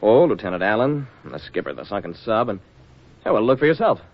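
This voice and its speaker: Chummy navy guy, talks quick, lowish voice, folksy, old radio play. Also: very animated, vocal fry, exaggerated voice. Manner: folksy, casual. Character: easygoing, chummy male navy diver. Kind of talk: 1940s radio drama acting. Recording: dull vintage 1940s radio recording.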